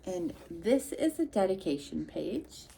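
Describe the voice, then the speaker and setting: A woman reading a storybook aloud to young children, expressive and sing-song, with chatty asides addressed straight to the listeners. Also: fairly low pitch, a little slow, gravelly, slight background noise.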